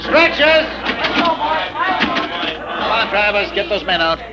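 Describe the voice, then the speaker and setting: Bossy army medic in old 50s radio play, really high strained yelling of short orders, tons of noise behind. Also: male voice, sing-song, slow, full character voice. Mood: urgent, commanding. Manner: barking, clipped commands. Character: brusque army medic shouting orders at stretcher crews. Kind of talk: 1950s radio acting. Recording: noisy old radio drama with vehicle din.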